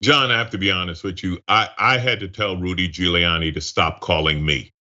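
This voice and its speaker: Male guest pundit, lowish pitch with a hard bright edge, wry, emphatic, conversational. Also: steady tone, a little fast, highs cut off. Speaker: guest commentator. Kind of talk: conversational political commentary.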